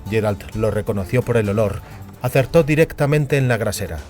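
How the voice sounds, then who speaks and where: A man's voice: on the low side, expressive, brisk. A man narrating a fantasy audiobook in a scripted, dramatic storytelling style. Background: music.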